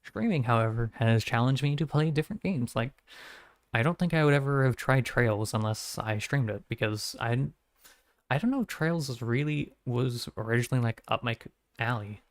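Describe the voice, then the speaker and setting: A man streaming games from home who talks in a flat, rambling way and often corrects himself. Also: mid-range voice, normal speed, no room sound. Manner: monotone, rambling, self-correcting. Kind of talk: casual stream sign-off chat.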